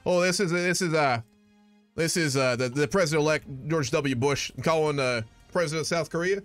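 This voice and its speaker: A man's voice: on the high side, a little fast, a bit bright, dry recording, faint music behind. A young man streaming a multiplayer strategy game, roleplaying a head of state with blustery, emphatic declarations over a voice call from a small room at home.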